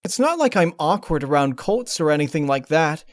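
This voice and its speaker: A man's voice: a little high, sing-song, moderate pace, no echo, clean studio sound, pitched above the performer's own voice. A man voicing a distraught, controlling young female pony princess in a storybook reading, with a pleading, emotionally strained delivery. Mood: upset, pleading. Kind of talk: dramatic storybook character reading.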